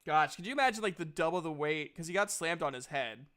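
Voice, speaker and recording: A man with a clean, resonant voice pitched a little above average runs a tabletop roleplaying game. He talks casually and conversationally as game master, describing what happens and handing out turns. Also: expressive, fast talker, sharp tone.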